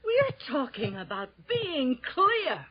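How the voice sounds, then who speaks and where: A neglected, jealous wife in a radio play. She speaks in a high voice at a slow, pointed pace, heavy with sarcasm. The recording sounds dull and muffled. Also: big pitch swings, heavily acted. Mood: bitter, angry. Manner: sarcastic, pointed, deliberate emphasis. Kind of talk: radio drama acting.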